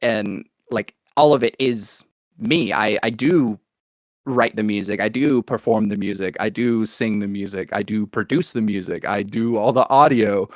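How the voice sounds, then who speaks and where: A man with an American accent telling his own story in a casual, rambling interview style, as if thinking out loud. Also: on the low side, normal speed, no room sound, studio quality. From Oklahoma, United States, born in Venezuela. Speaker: podcast guest, musician and producer.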